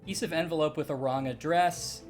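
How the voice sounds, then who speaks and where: A man's voice: on the high side, normal speed, soft background music. A young man casually playing a mystery game, thinking aloud and hesitantly reasoning through clues. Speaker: game streamer. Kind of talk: casual let's-play chat.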